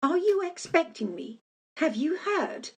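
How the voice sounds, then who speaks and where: A woman's voice: very high-pitched, very animated, measured pace, full character voice. A woman playing an apologetic, formal company messenger in a radio play, hesitant, polite and grave. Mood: uneasy. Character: apologetic, formal female company messenger bearing bad news.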